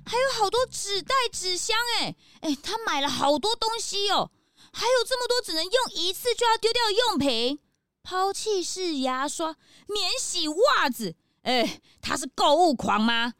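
Excitable, high-pitched little sidekick. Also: female voice, moderate pace, dry recording, studio quality, heavily acted. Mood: shocked, indignant. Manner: dramatic, exclamatory, cute. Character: excitable, outspoken young detective's sidekick. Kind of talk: children's radio drama acting.

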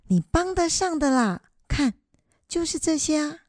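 A woman acting an earnest, studious elderly grandmother in a radio drama, with a Taiwanese accent and a gentle, hesitant manner. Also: high voice, big pitch swings, measured pace, no echo, clean studio sound. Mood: eager.

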